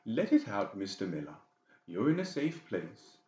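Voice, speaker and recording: A man's voice: on the low side, huge pitch swings, moderate pace, a little mellow, roomy sound, lo-fi sound. A man voicing a cold, manipulative doctor in a horror audiobook, mock-polite and menacing. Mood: falsely soothing. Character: cold, conniving, balding doctor, falsely soothing villain. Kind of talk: dramatised horror audiobook dialogue.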